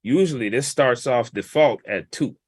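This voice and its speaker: A man, a guest mix engineer, casually walking through a vocal mixing session in a relaxed, explanatory, colloquial way. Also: mid-range voice, moderate pace, slightly rough, no echo, clean studio sound.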